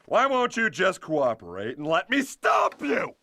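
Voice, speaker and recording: An actor playing a pompous, hot-headed young man in an animated comedy game, bossy and melodramatic. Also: sits high, unhurried, exaggerated voice. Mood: exasperated. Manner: bossy, melodramatic, barking orders.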